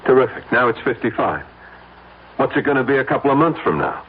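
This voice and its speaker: An actor playing a curt, evasive, cornered husband in an old-time radio drama. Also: male voice, mid-range voice, very animated, a little fast, lightly acted. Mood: exasperated. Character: irritable, cornered husband paying off a blackmailer. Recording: muffled, noisy vintage radio broadcast.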